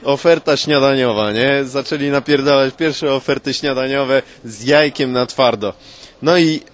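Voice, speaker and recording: A man of average pitch tells an improvised comic tale to friends. His talk is colloquial and full of swearing, with bursts of mock-scholarly explanation. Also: normal speed.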